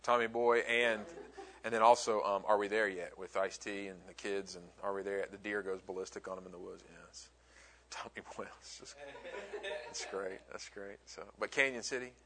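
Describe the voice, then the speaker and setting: A man's voice: lowish voice, steady tone, normal speed, a bit bright, a little hiss or hum. A middle-aged man from the American South teaching a class, chatty and friendly, wandering into asides. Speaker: instructor. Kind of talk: classroom talk.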